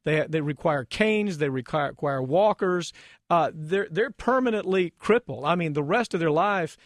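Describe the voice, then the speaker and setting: A man's voice: a little high, animated, normal speed, no echo, clean studio sound. An American man hosting a radio talk show, speaking emphatically and indignantly with rhetorical questions.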